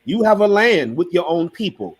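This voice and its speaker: A man on an online panel discussion, telling a story with acted-out dialogue and building to forceful emphasis. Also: sits high, sing-song, normal speed, husky. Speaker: panel member.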